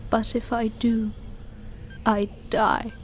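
A woman playing a menacing, ancient and bitter Mother Superior in an audio drama, with deliberate delivery that often sinks toward a whisper. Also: a little high, level tone, slight background noise. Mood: grave, haunted. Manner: slow, deliberate, breathy near-whispers. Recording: music under the voice.

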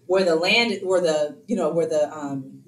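A woman's voice: low-pitched, a little slow, reverberant, a little hiss or hum. A woman presenting a workshop in a meeting room in a conversational way, full of rhetorical questions and fillers, recorded from far off. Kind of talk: casual workshop lecture. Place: meeting room, in front of a screen. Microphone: far room camera.

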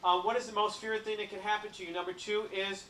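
A man's voice: high voice, level tone, fast talker, distant mic. A man with a handheld mic telling jokes to an audience in a cruise ship lounge and teasing the crowd. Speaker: comedian on a ship stage. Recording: roomy home video from the crowd.

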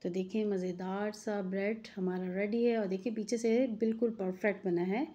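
A mother narrating a home cooking video, speaking to her viewers in a chatty, homely way. Her voice has a mellow, warm tone at a middle pitch, relaxed and unhurried. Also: lo-fi sound. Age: adult mother. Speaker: cooking channel host. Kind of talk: home cooking tutorial voice-over.

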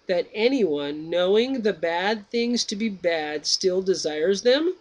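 A man's voice: high-pitched, animated, voice pushed up, full character voice, low-quality recording. Socrates, a man reading as a patient questioning sage. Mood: probing. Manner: slow, deliberate reading of each question. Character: Socrates, patient older male philosopher questioning a pupil.

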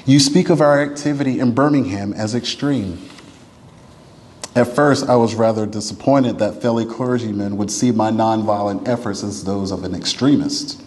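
A man reads a historic letter aloud in a measured, oratorical way, as a reader in a church. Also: fairly low pitch, a little mellow. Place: church sanctuary. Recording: long reverb of a large room.

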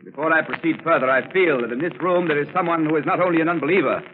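A man's voice: on the high side, fast, close to an everyday voice. A thin, lightly crackling vintage broadcast carries a male actor as a theatrical mentalist. He speaks formally and solemnly in a raised register, in 1930s radio drama style. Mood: commanding. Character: solemn, commanding stage mentalist addressing his audience. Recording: crackly, narrow-band 1939 radio broadcast.

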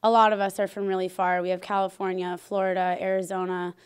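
Woman, 20s, college athlete, smooth clear voice, little pitch movement, earnest, handheld mic. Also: fast talker. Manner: earnest, excited. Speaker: college softball player. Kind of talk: interview soundbite. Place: team locker room, indoors.

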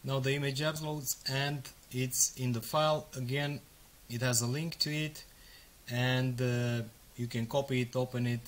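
A man in his thirties, a native Romanian speaker, casually walking through a software tutorial step by step with frequent ums and uhs. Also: medium pitch, steady tone, measured pace. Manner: casual, explains step by step with fillers. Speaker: software demo presenter. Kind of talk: screen-recorded tutorial walkthrough.

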